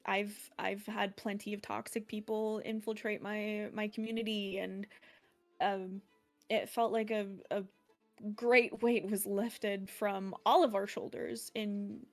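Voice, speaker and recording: A woman streamer with a deeper voice for a woman, speaking in a loose, rambling interview style. She is playful and breaks into laughter often. Faint music plays quietly behind her. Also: creaky. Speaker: streamer, guest. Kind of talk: casual interview chat.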